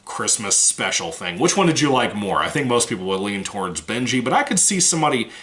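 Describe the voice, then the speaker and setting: A man's voice: lowish voice, big pitch swings, brisk, sharp tone. A man giving a casual, rambling movie review, frank and swearing now and then, his voice still recovering from a cold.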